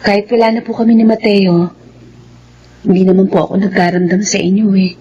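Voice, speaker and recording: A woman playing a polite, gentle, forgiving young woman in a radio drama. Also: medium pitch, steady tone, brisk, lightly acted. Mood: polite, resigned. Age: young adult. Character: polite, forgiving young woman, resigned after lost love. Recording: dull, faintly noisy.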